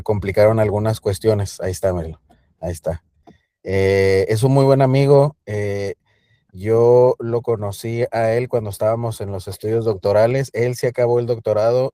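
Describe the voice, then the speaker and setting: A man's voice: fairly low pitch, warm tone, no room sound, studio quality. A man hosting a class on a video call, introducing a guest speaker with joking and many hesitant fillers. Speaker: program host.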